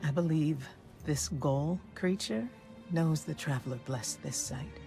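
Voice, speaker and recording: A grave, commanding warrior-mage. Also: female voice, sits low, gravelly, over music. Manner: solemn, measured, commanding. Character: grave, wise woman warrior-mage, weary leader. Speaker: game character. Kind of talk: video game cutscene acting. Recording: game mix with loud score.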